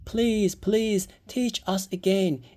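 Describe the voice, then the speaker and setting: A reader voicing excitable, whiny schoolchildren in a children's storybook, exclaiming and pleading. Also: medium pitch, takes it slowly, voice pushed up, close to an everyday voice. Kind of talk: children's storybook read-aloud.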